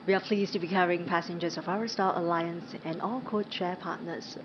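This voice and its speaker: A woman's voice: fairly low pitch, fairly even intonation, a little fast. A woman working as cabin crew makes a live scripted announcement to passengers in an aircraft cabin. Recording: dull cabin PA, loud aircraft noise. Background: loud aircraft noise.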